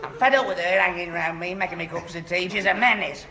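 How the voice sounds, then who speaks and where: A man's voice: sits high, fast talker, bright, forceful tone. A man playing a hapless, easily embarrassed young handyman, stammering in a 1960s radio comedy. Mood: irritated, flustered. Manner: stammering, tongue-tied. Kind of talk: 1960s radio sitcom acting. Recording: noisy old radio recording, studio audience.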